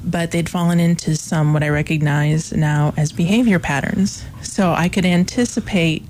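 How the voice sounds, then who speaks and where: A woman from Illinois, a theater professor, being interviewed on college radio; she says she has a loud voice and laughs between her thoughts. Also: low voice, normal speed, a little hiss or hum. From Illinois, USA. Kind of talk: interview conversation.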